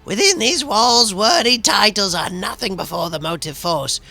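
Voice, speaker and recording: A zealous cyborg holy man from a video game. The voice sits high, with a gravelly creak and a sharp, forceful edge. He preaches in solemn, formal, ceremonious phrases. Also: normal speed, exaggerated voice. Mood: solemn welcome. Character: solemn zealous cyborg priest, guardian of a sacred monastery. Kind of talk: theatrical video game dialogue.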